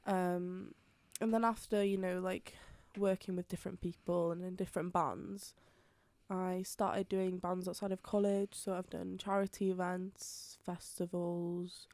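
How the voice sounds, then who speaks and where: Young woman, low and chatty. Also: little pitch movement, a little slow, darkish tone, dry recording. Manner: chatty, many fillers like "hundred percent". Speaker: singer-songwriter guest. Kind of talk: casual interview chat.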